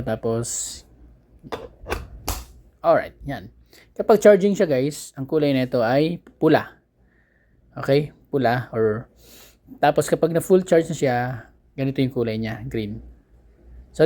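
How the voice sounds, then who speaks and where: A man with a Filipino accent talks through a product like a vlogger doing an unboxing. His voice sits at a middle pitch, and his delivery is casual and conversational, halting now and then as he searches for the next word. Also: mellow. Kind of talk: casual unboxing and review talk.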